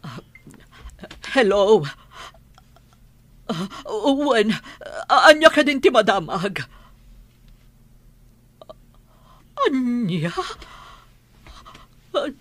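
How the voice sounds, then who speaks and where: A woman playing a sorrowful elderly grandmother in an Ilocano radio drama, speaking in a husky, halting voice. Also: fairly high pitch, lively intonation, dry recording, exaggerated voice. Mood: shaken, tearful.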